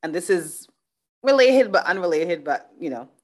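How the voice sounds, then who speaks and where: A woman's voice: on the low side, very animated, moderate pace, darkish tone. A middle-aged woman from Barbados hosting a podcast interview, chatting casually and thinking aloud with restarts. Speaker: podcast host.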